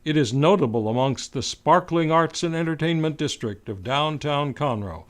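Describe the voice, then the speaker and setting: A man with a somewhat high-pitched voice reads scripted copy. He narrates as an announcer promoting a theatre. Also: animated, normal speed.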